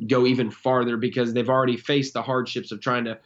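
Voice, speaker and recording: Excitable young man, slightly muffled. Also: medium pitch. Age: young adult. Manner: fast, excitable, rambling. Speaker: guest, former college angler. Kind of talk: casual podcast chat. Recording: slightly dull lo-fi sound.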